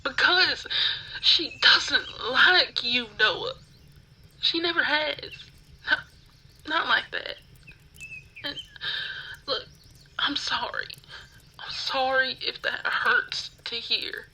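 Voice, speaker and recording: Woman playing a frightened, high-voiced pleading captive. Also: pushed and bright, husky, heavily acted, poor audio. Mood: pleading, defensive. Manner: halting, stammering, pleading. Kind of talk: ASMR roleplay acting.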